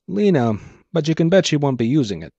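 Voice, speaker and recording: A man's voice: fairly high pitch, sing-song, fairly quick, dark tone, husky, dry recording, dull audio, pitched above the performer's own voice, exaggerated voice. A man voicing a grim, terse clockwork crime boss with a brass jaw in a noir story reading. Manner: terse, grim, clipped replies.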